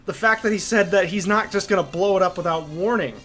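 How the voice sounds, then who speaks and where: Emphatic male video essayist, soft music behind. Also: high voice, a little fast. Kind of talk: opinionated video essay commentary.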